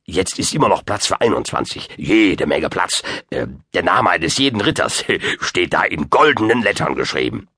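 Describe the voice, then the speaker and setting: A man voicing a muddled, well-meaning old wizard in an audiobook, halting and full of ums and chuckles. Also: low-pitched, big pitch swings, sharp tone, slightly rough, no room sound, studio quality, full character voice. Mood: flustered, apologetic. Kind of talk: audiobook character voice.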